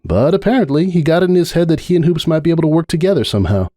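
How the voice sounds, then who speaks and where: Male narrator as young female pony, higher register, earnest, hesitant, apologetic, soft-edged tone, storybook drama. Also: expressive, very fast, no room sound, studio quality. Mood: apologetic, exasperated. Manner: hedging, softening bad news.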